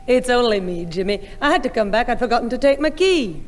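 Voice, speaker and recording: A woman playing a brisk, scolding mother with a Northern English accent in a 1960 radio sitcom. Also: a little high, lively intonation, soft background music, natural voice. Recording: old radio recording, faint hiss, audience.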